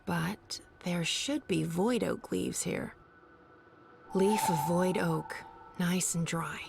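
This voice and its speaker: An actress playing a brisk young woman who mutters to herself while gathering potion ingredients. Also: fairly low pitch, vocal fry, background noise, full character voice. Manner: muttering to herself as she works.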